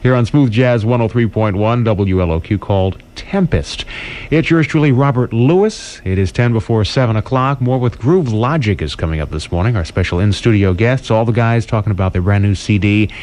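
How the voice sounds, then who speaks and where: A man with an American accent working as a radio DJ, presenting smooth jazz and short information updates as morning host. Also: on the low side, lively intonation, a little fast, darkish tone.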